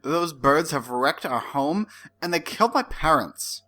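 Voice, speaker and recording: A man's voice: a little high, expressive, unhurried, sharp tone, heavily acted. A man voicing a timid, frightened young woman in a comic dramatic reading. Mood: deadpan.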